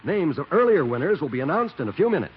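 An American man pitches like a 1940s radio announcer on a dull old recording. His high, husky voice moves with lively salesman emphasis. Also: fast talker, very noisy.

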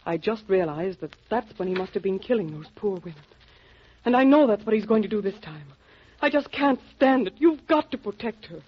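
An actress playing an anxious wife in an old radio detective drama, husky and earnest in a melodramatic, pleading way. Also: female voice, mid-range voice, lively intonation, a little fast. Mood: distressed. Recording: muffled old radio recording.

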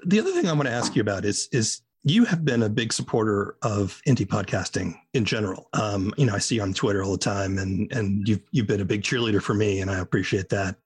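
Husky guy doing a podcast interview, kinda wandering thoughtful questions. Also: fairly low pitch, fast, few pauses. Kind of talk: conversational interview.

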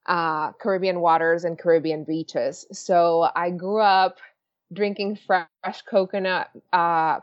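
Female guest from Venezuela, lower voice, cheerful and talkative, slightly lo-fi remote audio. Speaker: podcast guest. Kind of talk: casual interview.

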